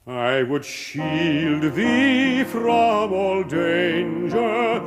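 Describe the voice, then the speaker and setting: A woman singing a slow, tender Victorian ballad in a radio drama. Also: on the low side, expressive, music in the background.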